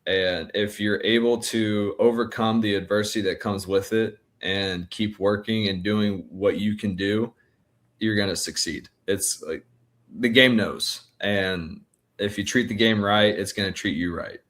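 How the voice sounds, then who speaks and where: A man in his twenties answering interview questions as a baseball pitcher in a casual, laid-back, colloquial way. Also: steady tone, measured pace, a bit bright. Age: 20s.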